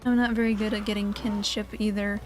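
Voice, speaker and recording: A woman's voice: mid-range voice, flat delivery, fairly quick, poor audio. A woman giving casual gameplay commentary, muttering self-deprecating reactions as she plays. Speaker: gaming video creator. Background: video game music.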